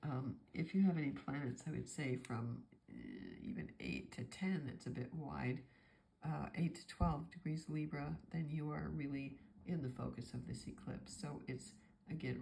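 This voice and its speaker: A woman's voice: sits low, vocal fry, dark tone, slight background noise. A woman astrologer with a stuffy nose from a cold, talking through a forecast in a meandering, thinking-aloud way.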